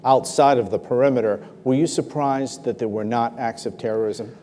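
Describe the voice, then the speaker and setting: A man hosts a live town-hall interview, his mellow, relaxed voice steering the questions in a formal way. His words ring out with the long reverb of a large hall. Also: medium pitch, moderate pace. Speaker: talk show host. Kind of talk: hosting a live interview before an audience.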